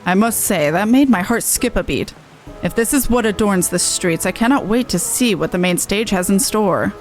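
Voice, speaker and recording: A woman voicing an excitable, easily amazed townsperson at a festival in a fantasy game. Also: medium pitch, moderate pace, faint music behind, close to an everyday voice. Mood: thrilled.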